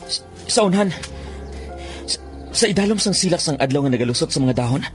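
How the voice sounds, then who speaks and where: A man's voice: on the high side, lively intonation, a little fast, soft background music, close to an everyday voice. A man acting a brash, easily awestruck young bird trapper in a radio drama, theatrical, with a Western Visayan Filipino accent. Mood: awed. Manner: theatrical, stammering when startled. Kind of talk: radio drama acting with first-person narration.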